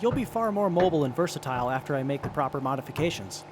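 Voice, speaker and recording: A man's voice: fairly high pitch, animated, rapid-fire, close to an everyday voice. A man playing a polite, eager tinkerer in an audio drama, courteous and enthusiastic. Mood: polite, pleased. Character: polite, eager young tinkerer who loves tech. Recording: noisy background mix.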